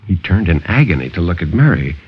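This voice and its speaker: A man's voice: deep voice, sing-song, fast, husky. A man narrating as a storyteller in dramatic 1950s radio horror style. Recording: muffled 1950s radio broadcast.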